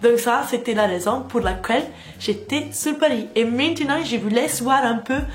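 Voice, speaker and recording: A woman's voice: a little high, normal speed, faint music behind. A young woman in her twenties from Italy, speaking with an Italian accent and chatting casually as a vlogger in a language that is not her first, with many fillers. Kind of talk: casual vlog chat.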